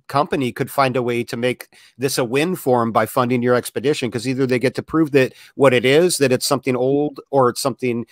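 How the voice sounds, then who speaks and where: A man's voice: mid-range voice, dry recording, studio quality. A man speaking casually as a panelist in an unscripted discussion. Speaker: interview panelist.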